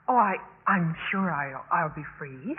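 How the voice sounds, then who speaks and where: A woman's voice: deep voice, animated, a little slow. An actress playing an eager, naive college freshman girl, politely flustered, in 1950s radio drama style. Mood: flustered excitement. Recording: echoey phone-like 1950s radio recording, audience.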